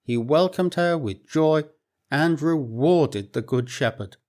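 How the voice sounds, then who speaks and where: Slow, expressive male storyteller. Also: fairly high pitch. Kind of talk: reading a storybook aloud.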